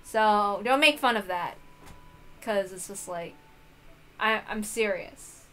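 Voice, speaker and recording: A woman reading a visual novel aloud, with expressive narration and casual asides. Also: medium pitch, slow pace. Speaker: reader of a visual novel playthrough.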